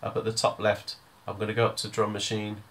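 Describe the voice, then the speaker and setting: Low-voiced man giving a tutorial. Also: monotone, fast, fairly bright tone. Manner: informal, hesitant step-by-step explaining. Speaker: music tutor. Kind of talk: hands-on software tutorial.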